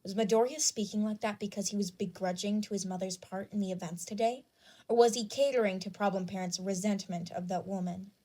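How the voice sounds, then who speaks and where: A woman's voice: mid-range voice, fairly even intonation, brisk, warm tone. A woman reading scripted fan fiction as the narrator.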